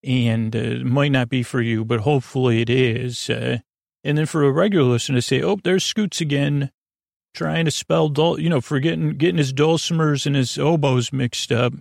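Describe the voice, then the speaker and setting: Creaky, meandering man hosting a sleep podcast. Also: medium pitch, dry recording, studio quality. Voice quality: creaky, gravelly. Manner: meandering, halting, self-interrupting and digressive. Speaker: podcast host. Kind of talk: rambling, goofy sleep podcast monologue.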